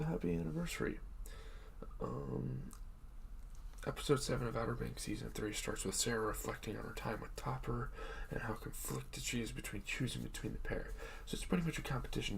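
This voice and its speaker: Hoarse, gravelly young man recapping a show. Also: medium pitch, a little mellow, a little hiss or hum, rough recording. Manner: rambling, loose and chatty. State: hoarse, just woke up. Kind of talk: casual episode recap.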